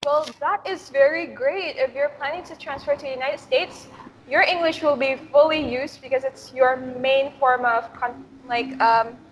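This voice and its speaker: A young woman whose first language is Indonesian, speaking English as a guest alumna on a video call, relaxed with fillers like "like" and "um". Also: high-pitched, moderate pace. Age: young adult. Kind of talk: casual advice talk. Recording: echoey room with faint background noise.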